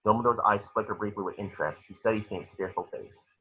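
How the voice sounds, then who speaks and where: A man's voice: fairly low pitch, fast talker, pushed and bright, husky, poor audio. A man reading scripted screenplay stage directions as narrator of a table read.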